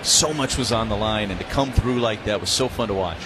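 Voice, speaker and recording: A man's voice: fairly low pitch, brisk. A man with an American accent giving conversational color commentary on a live baseball broadcast. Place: stadium broadcast booth. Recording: noisy ballpark ambience behind. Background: steady ballpark noise.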